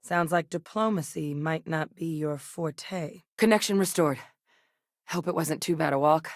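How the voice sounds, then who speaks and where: An actress playing a serious, driven military scientist in a sci-fi video game, speaking in a measured, earnest, dryly wry manner. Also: female voice, low voice, no room sound, clean studio sound. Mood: businesslike, dry. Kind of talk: acted sci-fi game dialogue.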